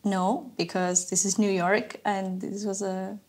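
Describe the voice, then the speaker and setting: Lively woman from Ecuador telling her story. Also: medium pitch. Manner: lively storyteller, eager and quick. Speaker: guest, animator. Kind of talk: casual interview chat.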